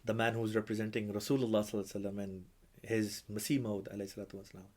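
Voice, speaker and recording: Low-voiced host, native Urdu speaker. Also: male voice, level tone, darkish tone. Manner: measured, reverent, with religious phrases. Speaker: podcast host and imam. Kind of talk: conversational interview.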